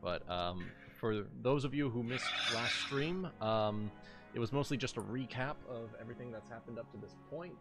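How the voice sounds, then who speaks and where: Man hosting over loud background music. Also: mid-range voice, normal speed. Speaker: game master and livestream host. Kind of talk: casual group chat on a tabletop game livestream.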